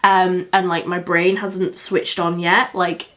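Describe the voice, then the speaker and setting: Female vlogger, mildly croaky with a cold, candid casual product-review chatter. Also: on the low side, little pitch movement, takes it slowly, fairly bright tone. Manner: chatty and candid, with frequent fillers. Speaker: beauty vlogger.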